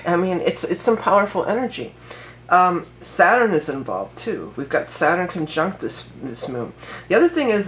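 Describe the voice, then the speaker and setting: A woman's voice: low voice, slight background noise, rough recording. A woman astrologer still getting over a head cold, giving a casual, rambling forecast full of fillers and self-corrections.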